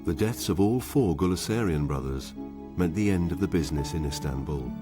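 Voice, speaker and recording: A man narrating a documentary in a measured, even scripted delivery. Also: low voice, music in the background.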